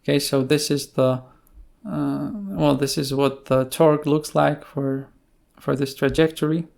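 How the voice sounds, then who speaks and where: Man, slow pace, gravelly vocal fry, halting delivery, unscripted programming screencast. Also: fairly high pitch, steady tone, darkish tone. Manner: hesitant, halting explanations with pauses. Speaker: tutorial presenter. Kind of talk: unscripted screencast coding tutorial.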